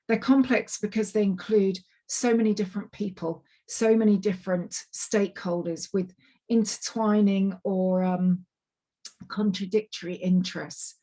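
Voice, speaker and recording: A woman giving an online presentation on a video call, her voice a little croaky and tired, chatty and apologetic with lots of ums and restarts. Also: mid-range voice, warm tone. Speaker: climate project manager giving a webinar talk. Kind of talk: online presentation, loosely scripted. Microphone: computer mic on a video call.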